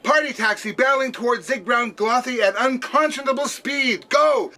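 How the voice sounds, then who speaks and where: A man playing a nervous, deferential traffic control operator who stammers and announces crisply in a comedic sci-fi audio drama. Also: very high voice, normal speed, music in the background. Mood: urgent, dutiful.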